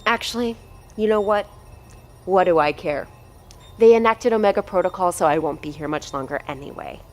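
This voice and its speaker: A woman's voice: fairly low pitch, normal speed, a little mellow, faint music behind, lightly acted. Woman acting haughty, callous scientist. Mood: dismissive, superior. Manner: haughty, cutting, emphatic. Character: arrogant, callous, impatient female research doctor in charge. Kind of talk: scripted audio drama dialogue.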